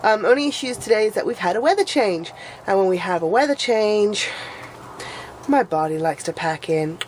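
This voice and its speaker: A woman's voice: mid-range voice, expressive, normal speed, a little hiss or hum, rough recording. A woman vlogging in casual chat, her voice a bit nasal and sniffly from a cold, rambling and restarting her sentences.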